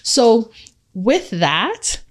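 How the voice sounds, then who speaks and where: A woman whose first language is Twi, originally from Ghana, telling lively personal stories as a podcast guest and laughing often. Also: fairly high pitch, extremely slow. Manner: animated, laughs often, acts out dialogue. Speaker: guest entrepreneur. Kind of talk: storytelling podcast interview.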